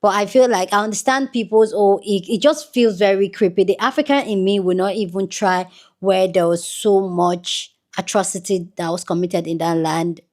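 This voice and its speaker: A woman's voice: mid-range voice, moderate pace, a little mellow. A woman from Nigeria giving rambling, earnest commentary on her own channel, with many restarts. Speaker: YouTube commentator. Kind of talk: casual commentary to camera.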